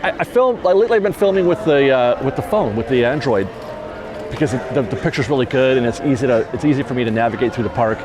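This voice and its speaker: A man's voice: medium pitch, lively intonation, fast, noisy background. A man vlogging outdoors at a theme park at dusk, talking in a reflective, rambling, sentimental way on a lapel mic. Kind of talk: casual walking vlog talk. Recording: outdoor crowd chatter behind.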